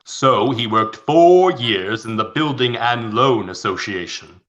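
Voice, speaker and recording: A man's voice: mid-range voice, expressive, takes it slowly, a bit bright, dull audio. A man narrating a scripted radio play as a storyteller.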